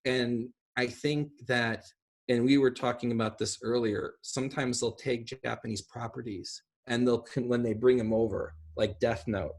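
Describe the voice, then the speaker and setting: A man's voice: mid-range voice, fairly even intonation, moderate pace. A man in his forties chatting effusively and ramblingly on a video call from a room at home. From small rural town. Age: 40s. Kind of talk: casual discussion on a video call. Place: small room at home, indoors. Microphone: computer on a video call.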